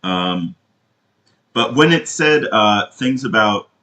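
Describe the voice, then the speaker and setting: Echoey, low-voiced man making an insistent inquiry. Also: lively intonation, bright, forceful tone. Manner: halting, insistent, earnest, circling questions. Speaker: caller, prospective customer. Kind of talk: phone inquiry, questioning. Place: indoor room, phone call. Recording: roomy echo on a recorded call.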